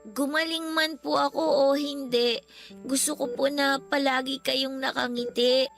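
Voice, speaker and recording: A sick young girl in a radio drama, gentle and polite. She speaks very slowly in a high voice, pleading softly over background music. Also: steady tone, gravelly. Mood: resigned, grateful. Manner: soft-spoken, respectful, pleading. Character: gentle, ailing young daughter, polite and loving.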